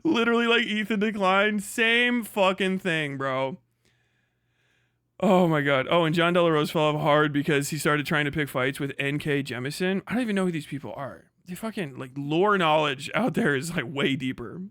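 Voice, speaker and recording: A man's voice: on the high side, moderate pace, fairly bright tone, smooth, no echo, clean studio sound. A young man hosting a livestream, giving crude, mocking reaction commentary and laughing often. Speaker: livestream host. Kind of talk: profane live reaction commentary.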